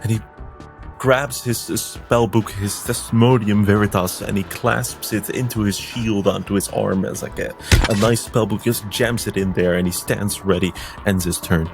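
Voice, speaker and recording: Low-voiced man playing a wizard. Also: fairly even intonation, music in the background. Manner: halting, restarts often while describing actions. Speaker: player. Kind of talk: playing a wizard at a tabletop game.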